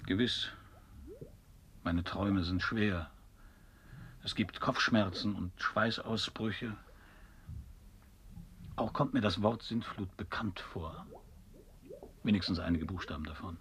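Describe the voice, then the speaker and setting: An actor playing a stubborn, wordy seafarer in a German radio play, speaking in a dry, formal, pedantic manner. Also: male voice, sits low, slow pace, long pauses, fairly bright tone, slight background noise, exaggerated voice. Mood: pedantic, insistent. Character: stubborn, wordy male old seafarer wearing a borrowed head.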